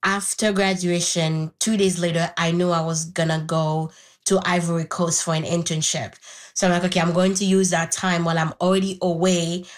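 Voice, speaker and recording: Low-voiced young woman from Haiti. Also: little pitch movement. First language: Haitian Creole. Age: 20s. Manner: thoughtful, pausing, with restarts. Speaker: interview guest. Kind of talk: reflective personal conversation.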